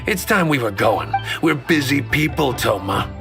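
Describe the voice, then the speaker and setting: A man's voice: medium pitch, very animated, normal speed, vocal fry, over music. A man voicing a scornful, gossiping samurai in a video game, with a sneering, disdainful delivery. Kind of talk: video game character dialogue.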